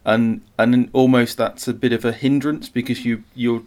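A man's voice: medium pitch, level tone, normal speed, a little mellow. A man talking casually in an interview as a writer explaining his process. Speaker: short story writer. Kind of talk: casual interview talk about writing.

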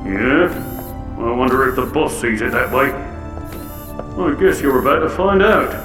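A man's voice: mid-range voice, lively intonation, a little slow, lightly acted. An actor playing an officious, touchy toad henchman in a science-fiction audio drama, pompous and taunting. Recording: echoey, music and effects behind.

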